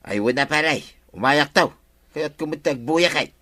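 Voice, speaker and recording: Bright-voiced, frank Ilocano buddy. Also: male voice, fairly high pitch, measured pace, no echo. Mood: casual, frank. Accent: Filipino, Ilocano. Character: village captain's frank, chiding male buddy. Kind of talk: comedic radio drama acting.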